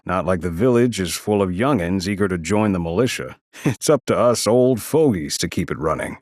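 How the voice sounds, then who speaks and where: A man's voice: lowish voice, no room sound, studio quality. An actor playing a weary, good-natured old village militiaman in a video game. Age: older. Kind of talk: video game character dialogue.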